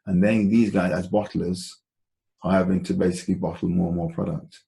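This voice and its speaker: A man presenting an informal stock analysis as host of an investment call, explaining in a halting, deliberate, conversational way. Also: low-pitched, little pitch movement, creaky, dark tone.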